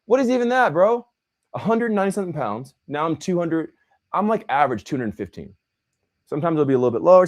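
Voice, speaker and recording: Young man, host, casual chat, slangy, animated, pitch jumping around. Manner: animated, slangy, says bro and man a lot.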